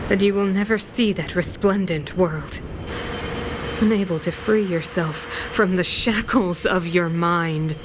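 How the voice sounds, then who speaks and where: A woman voicing a cold imperious queen, slow and grand. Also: on the low side, noisy background, lightly acted. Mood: solemn, commanding. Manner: slow, solemn, commanding. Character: imperious middle-aged female ruler, cold and grandiose. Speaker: villain. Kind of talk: video game cutscene acting. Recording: game audio with loud score. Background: loud orchestral music.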